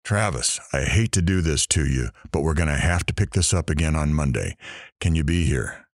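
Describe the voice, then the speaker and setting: An actor playing a flippant, nosy radio talk show host with a theatrical, teasing delivery. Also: male voice, a bass voice, moderate pace, no echo, studio quality. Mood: showy. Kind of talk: radio host patter in an audio drama.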